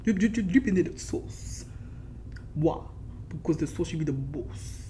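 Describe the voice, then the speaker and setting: Low-voiced woman food vlogger, animated. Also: a little slow. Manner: animated, shifts to soft whispers while eating. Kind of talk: casual mukbang chat. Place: living room at home, indoors.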